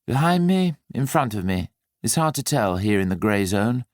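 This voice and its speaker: A man's voice: fairly low pitch, very animated, normal speed, no room sound. A man voicing a jaded upper-class Englishman of thirty in an audiobook, dry, world-weary and evasive. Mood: sardonic. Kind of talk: audiobook character dialogue.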